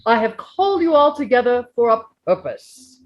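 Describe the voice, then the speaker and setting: Woman acting out jovial old hobbit gentleman, highish swooping voice, oratorical, deliberate, storybook speechmaker. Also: big pitch swings, highs cut off. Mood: ceremonious, measured. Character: elderly male hobbit giving a jovial birthday speech. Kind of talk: storybook reading.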